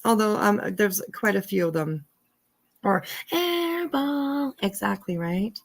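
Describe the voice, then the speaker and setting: Slow-talking woman with a creaky voice. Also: mid-range voice, lively intonation. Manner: giggly, irreverent, swears. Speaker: livestream host. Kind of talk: casual commentary, reading court papers aloud.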